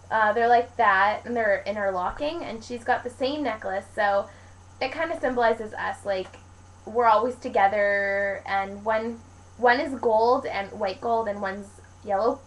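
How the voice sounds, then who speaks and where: A young woman from Canada speaks in a medium-pitched voice. She chats casually as a vlogger, answering viewer questions in loose, colloquial, wandering sentences. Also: a little hiss or hum, rough recording. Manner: rambling, informal, colloquial with frequent "like". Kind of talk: casual chat to camera, Q&A vlog.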